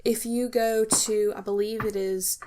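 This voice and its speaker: A woman's voice: mid-range voice, fairly even intonation, a little slow, mellow. An American woman co-hosting a podcast in a casual, conversational style. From United States. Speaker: podcast co-host.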